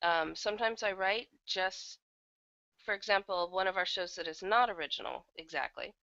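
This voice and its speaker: A woman's voice: mid-range voice, moderate pace, bright, forceful tone, no room sound, muffled recording. A woman chatting casually as an interview guest, talking as an audio drama writer and producer.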